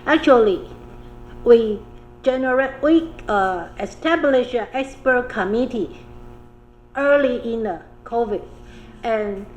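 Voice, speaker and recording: Woman official, higher voice, animated with laughing asides, faint room noise. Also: slow, long pauses, lo-fi sound. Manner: animated, laughing asides, non-native phrasing. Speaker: public health official. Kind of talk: roundtable panel discussion.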